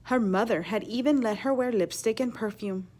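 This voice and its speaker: A woman with a fairly high voice reads a picture book as a teacher would for children. Her delivery is expressive and emphatic, and she steps out of the story to explain words and put questions to her listeners. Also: normal speed. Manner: animated, emphatic reading with hushed asides. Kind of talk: expressive children's read-aloud with explanatory asides.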